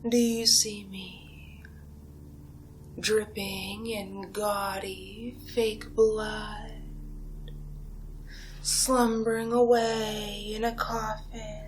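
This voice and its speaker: A woman playing an ancient, seductive female vampire in a whispered ASMR roleplay, taunting her prey in a breathy, theatrical way with drawn-out pauses. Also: mid-range voice, flat delivery. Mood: mocking. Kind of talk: ASMR roleplay monologue, soft-spoken and whispered. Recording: music behind the voice. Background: music.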